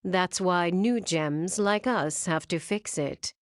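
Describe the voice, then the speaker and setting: A female gem villain for a cartoon game, cold and superior. She speaks slowly in a slightly low voice, with clinical, condescending precision. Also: dry recording, studio quality. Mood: cold, certain. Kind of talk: animated game cutscene acting.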